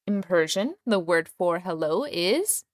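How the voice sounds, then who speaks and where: A young woman raised in Texas, speaking with a Texan American accent, hosting a podcast language lesson with clear, careful pronunciation. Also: lowish voice, expressive, measured pace, dry recording, studio quality. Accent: American English, Texas. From Iran. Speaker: language teacher, podcast host.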